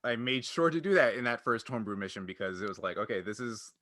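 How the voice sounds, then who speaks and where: A man's voice: lowish voice, expressive, fast, bright, forceful tone, slight background noise. A man hosting a podcast, explaining things casually and acting out quotes as he goes. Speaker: podcast host and game master.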